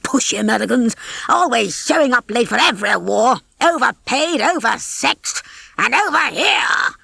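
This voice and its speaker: A pompous old rooster from a storybook, voiced by a woman. He blusters and scorns with an expressive, swinging pitch. The recording is dry. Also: normal speed, gravelly, breathy edge, no echo, exaggerated voice. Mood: disgusted.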